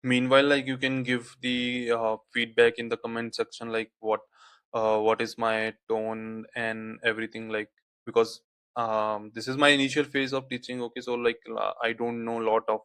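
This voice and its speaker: A man whose first language is Hindi, walking through a software tutorial on screen, peppering his speech with "okay, like" fillers. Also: medium pitch, level tone, moderate pace, smooth, no room sound. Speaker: tutorial instructor.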